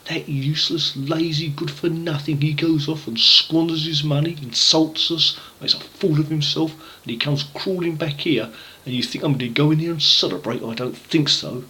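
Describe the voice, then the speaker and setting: A man's voice: on the high side, fairly even intonation, normal speed, bright, forceful tone, pitched above the performer's own voice, low-quality recording. Man acting an indignant, resentful elder brother. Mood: angry, scornful. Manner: indignant, emphatic complaining.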